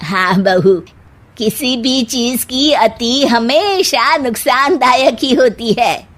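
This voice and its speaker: Woman as a slow, sharp-tongued cartoon mother-in-law. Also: on the high side, expressive. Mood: conciliatory. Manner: sarcastic, scolding. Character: sharp-tongued, taunting middle-aged village mother-in-law. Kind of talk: Hindi cartoon family comedy dialogue.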